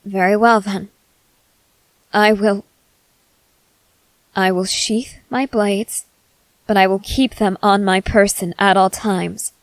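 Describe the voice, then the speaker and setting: Casting: actress as stern young female fighter, wary and intense, unhurried delivery, very dry close sound, ASMR drama. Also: medium pitch, fairly even intonation, dry recording, rough recording. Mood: softening, guarded. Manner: slow, deliberate, terse, intense delivery with pauses. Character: stern young female soldier, suspicious, guarded, threatening. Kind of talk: ASMR character roleplay, dramatic acting.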